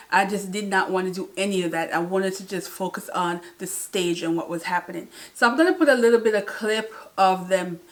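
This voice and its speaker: A woman vlogger chatting casually about her day, with lots of fillers and restarts. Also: mid-range voice. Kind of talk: casual vlog recap.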